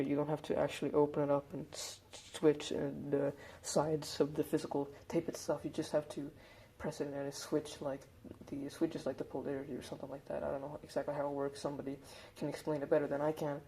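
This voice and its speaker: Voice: mid-range voice, flat delivery, brisk, vocal fry, few pauses, dark tone, slight background noise. A hobbyist presenter giving a casual hands-on demonstration, explaining things informally step by step.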